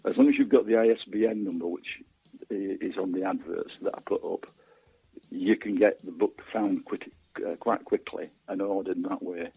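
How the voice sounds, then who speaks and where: Bloke, author being interviewed, deep and husky, stammers a bit, sounds like hes on the phone. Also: male voice, normal speed, dry recording. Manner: hesitant, stammers over repeated words. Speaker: guest author. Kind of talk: casual interview chat. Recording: thin phone-like line.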